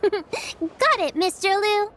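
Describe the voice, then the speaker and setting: A woman's voice: extremely high pitch, animated, takes it slowly, fairly bright tone, slight background noise, heavily acted. A woman voicing a cheerful, caring little girl in a video game, sweet and earnest with a childlike voice. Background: music.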